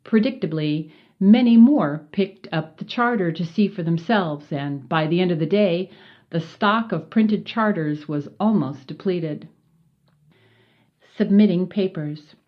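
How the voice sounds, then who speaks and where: A woman with a fairly low voice reads a written report aloud as scripted narration. Her delivery is formal and measured, spelling each acronym out letter by letter. The recording sounds dull and lo-fi.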